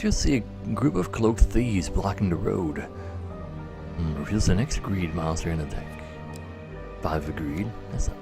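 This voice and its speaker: A man livestreaming a game, mixing muttered commentary with dramatic readings of the game's text. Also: on the low side. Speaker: live streamer. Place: indoors, livestream with game music behind.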